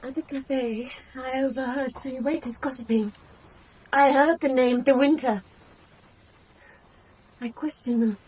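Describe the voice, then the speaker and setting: A woman's voice: high voice, fairly even intonation, measured pace, long pauses, a little mellow, husky, rough recording. An actress playing a proud, wounded young woman confronting her deceitful lover in a radio drama, with clipped, bitter phrases. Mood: accusing, controlled.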